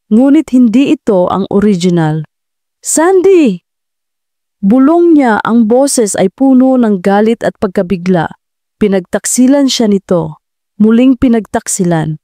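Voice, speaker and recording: Mellow woman narrating a dramatic story. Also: mid-range voice, dry recording. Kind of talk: dramatic scripted narration of a romance audio drama.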